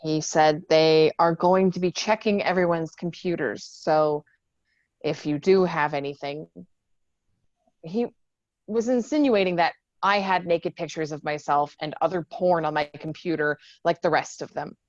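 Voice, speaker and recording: Blunt, low-voiced tough young woman lieutenant played by an actress. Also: measured pace, a little mellow, no room sound, lo-fi sound. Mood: frustrated, disbelieving. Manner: blunt, swearing, defiant. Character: wounded young female Marine lieutenant, tough, sardonic, guarded. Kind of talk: screenplay table read.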